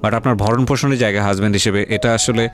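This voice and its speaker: Quick, low-voiced Bangladeshi male interviewer. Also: fairly even intonation. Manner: direct, mixes in English words. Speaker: radio show host. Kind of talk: probing interview. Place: radio studio. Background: music.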